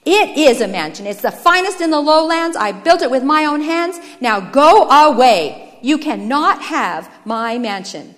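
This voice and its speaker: A woman voicing a hostile, possessive man guarding his home, shouting with defiant emphasis. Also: high-pitched, animated, a little slow, hardly any pauses, long reverb tail, voice pushed up.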